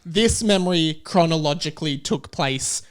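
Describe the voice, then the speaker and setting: Lively, higher-voiced young male podcast host. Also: normal speed, smooth. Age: young adult. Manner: bantering, mock-earnest explaining. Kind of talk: casual comic chat.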